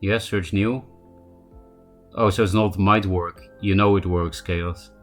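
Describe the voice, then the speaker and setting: Low-voiced Polish-accented man streaming. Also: fairly even intonation, soft background music. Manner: chatty, answers chat by name, quick asides. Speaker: game streamer. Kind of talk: casual live-stream chat while playing.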